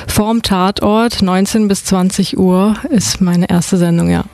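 A woman's voice: medium pitch, fairly even intonation, unhurried, a little mellow, slight background noise. A middle-aged woman from Brandenburg in Germany, talking casually and a little hesitantly as a radio studio guest. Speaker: studio guest, new radio show host. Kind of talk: casual radio interview talk. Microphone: close studio microphone.

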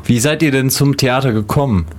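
A man's voice: medium pitch, fairly quick, slight background noise. Male radio interview host.

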